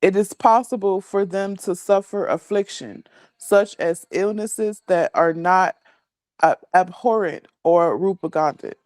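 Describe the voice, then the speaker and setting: A woman's voice: mid-range voice, measured pace, a little mellow, no room sound. A woman, a student in a class, reading a religious text aloud line by line.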